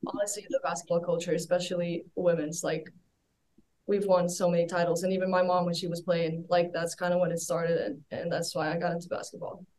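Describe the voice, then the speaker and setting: A young woman from Iceland answers questions as a guest on a sports podcast. Her voice is on the lower side, and she speaks softly, letting her sentences trail off quietly. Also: flat delivery, brisk, rough recording. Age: young adult. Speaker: college basketball player, guest. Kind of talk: casual interview answers.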